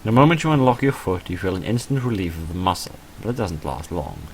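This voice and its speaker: Deep-voiced man narrating a game. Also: very animated, quick pace, a little mellow, a little hiss or hum. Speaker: gaming video narrator reading a visual novel aloud. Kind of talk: reading game text aloud with casual commentary.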